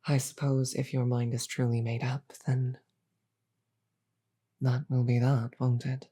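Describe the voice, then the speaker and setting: A woman acts out a demon queen for an audio drama. She speaks very slowly in a dark, velvety tone, weighing each phrase with pauses. Her manner is regal and grave, wryly amused, and often hushed toward a whisper. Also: a bass voice, flat delivery, slightly rough, full character voice. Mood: grave, resolved. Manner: deliberate, pausing, often dropping to a whisper. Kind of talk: scripted audio roleplay, slow dramatic delivery.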